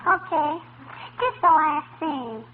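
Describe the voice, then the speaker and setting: A woman's voice: high voice, lively intonation, slow pace. A woman playing a mischievous, chatty little schoolgirl in a 1940s radio comedy, rambling childishly with mangled words and verbal tics. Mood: eager, excited. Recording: tinny, noisy old radio recording.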